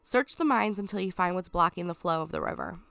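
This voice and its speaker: A stuffed-up woman reading the lines of a scheming, sarcastic male lion who calls himself the rightful king, in a sly, condescending way with soft, whispered asides. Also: fairly low pitch, expressive, a little fast, gravelly, no room sound. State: stuffed up from a cold.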